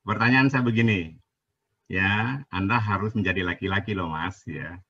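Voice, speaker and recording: A man's voice: deep voice, moderate pace, pushed and bright, husky. A man in a religious debate, insistently repeating himself to be heard, on lo-fi call audio.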